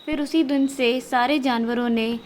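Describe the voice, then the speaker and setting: A woman's voice: sits high, fairly even intonation, measured pace, a little hiss or hum. A woman narrating a scripted children's story as a storyteller.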